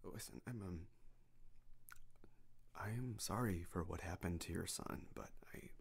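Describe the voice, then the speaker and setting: A man's voice: deep voice, a little slow, creaky, husky, heavily acted. A man playing a frightened, apologetic character in an audio drama, stammering and pleading as he begs. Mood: nervous, apologetic. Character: frightened, apologetic man begging for his life.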